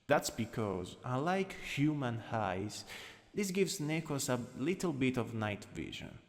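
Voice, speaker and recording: Male actor as teasing anatomy teacher, Italian accent, lively swooping pitch, ASMR roleplay, unhurried, softly commanding. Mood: instructive, playful. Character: lowkey dominant, teasing anatomy lecturer showing off an assistant. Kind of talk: ASMR roleplay, lecturing to a class. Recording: long reverberant hall echo.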